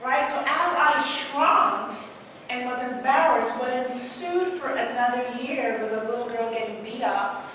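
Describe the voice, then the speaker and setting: A woman with a Trinidadian accent, a motivational speaker giving an energetic live talk to an audience in a large hall, asking them questions and telling personal stories. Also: on the high side, a little slow, a little hiss or hum. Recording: echoey hall, mic heard from a distance.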